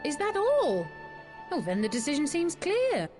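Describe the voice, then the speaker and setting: An actress playing a sharp, haughty young woman in a video game, curt, impatient and sardonic. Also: high-pitched, huge pitch swings, unhurried, faint music behind, exaggerated voice.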